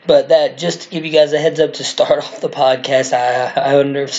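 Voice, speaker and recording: Guy with a shot hoarse voice doing his sports podcast, kinda monotone, muffled mic. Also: medium pitch, moderate pace. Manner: excited, rambling fan talk. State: hoarse from screaming. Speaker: podcast host. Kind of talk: casual sports podcast talk.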